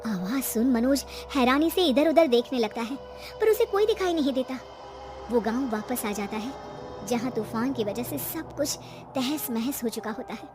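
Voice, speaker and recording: High-voiced woman narrating a cartoon story. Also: expressive, moderate pace, music in the background.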